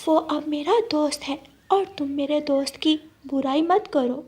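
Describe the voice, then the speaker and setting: A woman's voice: high voice, measured pace, darkish tone. A woman voicing a naive, earnest young female goat in a cartoon story. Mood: cold.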